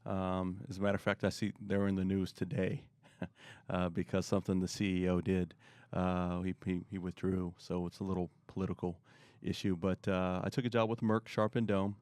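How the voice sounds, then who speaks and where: A middle-aged man answering interview questions in a relaxed, rambling way, often pausing with fillers. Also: low-pitched, dry recording. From born in Korea, raised in the US. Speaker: guest, marketing expert.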